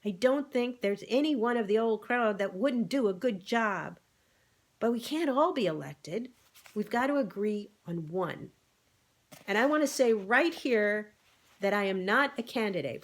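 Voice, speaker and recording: A woman voicing a bossy, excitable male pig who makes emphatic, persuasive speeches in an animal storybook. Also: fairly high pitch, pitched above the performer's own voice, lightly acted. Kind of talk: animal storybook read-aloud.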